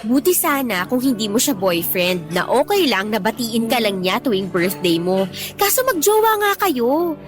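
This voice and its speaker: An actress playing a blunt, protective young Filipino best friend in a radio drama, scolding emphatically in slangy speech. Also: female voice, fairly high pitch, big pitch swings, moderate pace, faint music behind. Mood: blunt, indignant.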